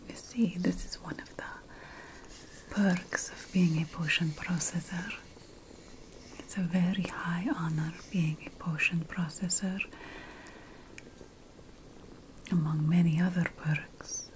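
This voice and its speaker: A woman playing an ancient Sumerian witch priestess in a whispered ASMR fantasy role-play, breathy, solemn and deliberate as she addresses an apprentice. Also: on the low side, fairly even intonation, background noise, close to an everyday voice. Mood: mysterious, reverent.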